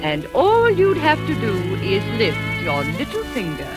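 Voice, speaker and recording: A pushy gushing victorian matchmaker in an old radio play, swoopy chatty delivery, music behind. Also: female voice, fairly high pitch, huge pitch swings, measured pace. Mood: coaxing. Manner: gushing, socially showy. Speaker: actor. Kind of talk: 1940s radio drama acting. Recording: vintage 1940s radio broadcast recording.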